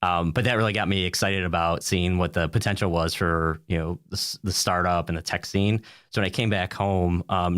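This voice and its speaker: Deep-voiced American man, dry close sound. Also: fairly bright tone, no echo. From United States, upstate New York. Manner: rambling, peppered with "you know" and "like". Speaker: interview guest. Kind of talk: casual conversational interview answers.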